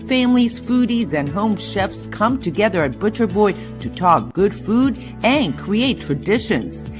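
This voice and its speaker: A woman's voice: mid-range voice, very animated, unhurried. A woman reading a scripted radio commercial for a sponsor. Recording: clear music bed behind voice.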